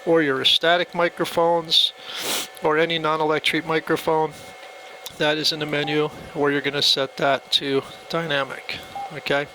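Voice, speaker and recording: A man's voice: fairly high pitch, flat delivery, normal speed, bright, forceful tone, low-quality recording. A man giving a casual, plainspoken step-by-step tutorial as he demonstrates a device. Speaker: product demonstrator. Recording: faint background noise.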